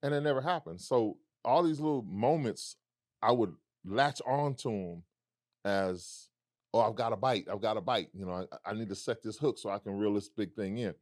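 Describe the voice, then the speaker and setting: Man with a deep baritone, dry sound. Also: normal speed, darkish tone, no room sound. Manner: reflective, storytelling with quoted dialogue. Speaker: musician guest. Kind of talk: casual conversation.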